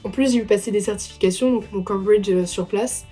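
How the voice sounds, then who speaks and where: A woman's voice: mid-range voice, a little fast, mellow, slightly rough, soft background music. A young adult woman, a former student, talking casually with repeated fillers. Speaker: former student giving a testimonial.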